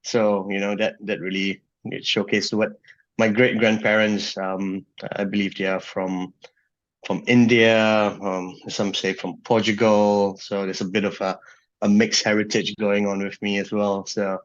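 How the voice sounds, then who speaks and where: A man's voice: fairly low pitch, little pitch movement, measured pace, lo-fi sound. A man from Singapore in his thirties, a guest telling his story in a casual interview, full of restarts and fillers. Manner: rambling with many restarts and fillers.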